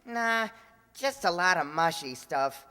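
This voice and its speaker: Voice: medium pitch, expressive, slow, pushed and bright, smooth. A performer playing a grumbling, scornful young boy in old-time radio comedy style. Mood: disgusted. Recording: long hall reverb.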